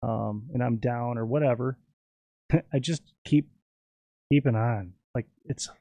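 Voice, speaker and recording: A man's voice: mid-range voice, slow pace, creaky, warm tone, no echo. A man from Minnesota, a podcast guest, chatting in a laid-back, rambling way with lots of fillers. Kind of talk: casual podcast chat.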